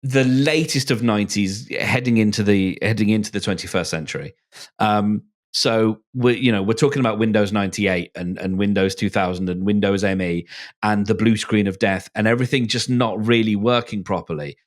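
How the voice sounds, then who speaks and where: A man's voice: fairly low pitch, a little fast. A man talking casually as a podcast panelist, hesitantly searching for his words. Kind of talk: casual discussion.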